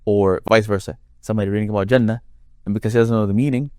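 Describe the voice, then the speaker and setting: A man with a deep, low-set voice talks through his points in an easy conversational way, stacking up long examples to make each one clear. The sound is dry and close, with almost no room around it. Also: steady tone, rapid-fire, mellow, no room sound. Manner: explains with long worked examples. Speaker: Quran reciter and guest. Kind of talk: conversational interview.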